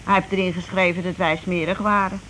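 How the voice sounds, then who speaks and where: An actress playing a timid, flustered housewife in a Dutch radio play, halting and repeating her words. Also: on the low side, flat delivery, a bit bright, natural voice. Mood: nervous confiding. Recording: noisy old radio play recording.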